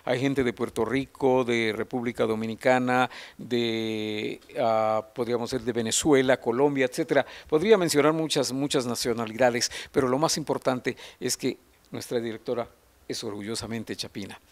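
A middle-aged man from Guatemala, a radio announcer giving effusive, halting interview remarks into a handheld microphone. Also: medium pitch, fairly even intonation. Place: large tiled hall with seated group. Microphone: handheld mic held out by interviewer.

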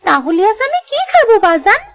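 A woman's voice: very high voice, big pitch swings, normal speed, a bit bright, heavily acted. A woman voicing an eager little village girl in a cartoon, childlike and wheedling. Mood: pleading. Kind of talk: cartoon voice acting.

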